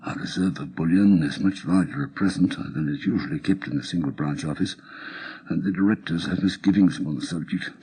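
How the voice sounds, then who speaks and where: A man's voice: low voice, brisk, warm tone, breathy edge, voice pushed down, rough recording. A man voicing a sober British bank director in a formal, subdued manner. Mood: grave.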